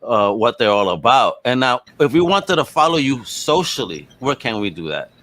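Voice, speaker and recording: Male podcast host, playful and exclamatory, lively swooping pitch, casual interview chat. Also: moderate pace. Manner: exclamatory, playful, repeats words for emphasis.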